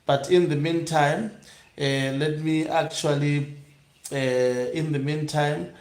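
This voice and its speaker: A man hosting an online news broadcast, reading aloud and commenting live in a halting, hesitant way with drawn-out pauses. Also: a little high, steady tone, darkish tone. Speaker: online news channel host. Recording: echoey room.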